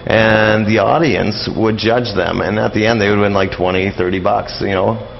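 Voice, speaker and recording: A man's voice: lowish voice, fairly even intonation, normal speed, gravelly. A man talking casually as an interview guest, explaining things in a rambling, hesitant way. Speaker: guest, old-time radio expert. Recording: dull lo-fi sound with background hiss.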